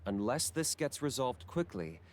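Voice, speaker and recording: A man playing a cool, pragmatic young hunter for hire in a video game, speaking in a measured, serious way. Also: medium pitch, sing-song, a little hiss or hum. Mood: resolute. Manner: measured, matter-of-fact, serious. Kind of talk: English video game dub acting. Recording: music behind the dialogue.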